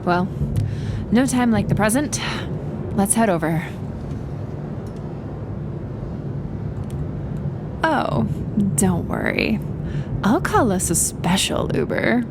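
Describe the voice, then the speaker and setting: A woman acting as a confident, wry supervillainess in an audio roleplay. She speaks slowly and teasingly, with a creaky edge on a medium-pitched voice. Clear ambient noise sits behind her intimate delivery. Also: lively intonation. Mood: wry, relieved. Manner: teasing, unhurried, pausing between phrases. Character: wry, confident supervillainess who talks to animals. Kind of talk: ASMR roleplay acting, intimate monologue to the listener.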